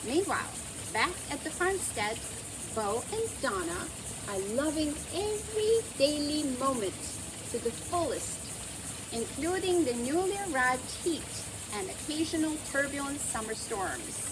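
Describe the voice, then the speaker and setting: A woman narrates a storybook for children in a high voice. Her delivery is slow and gentle, with big swoops of pitch and theatrical emphasis. There is noticeable noise in the recording. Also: very animated. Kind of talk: expressive scripted storybook narration.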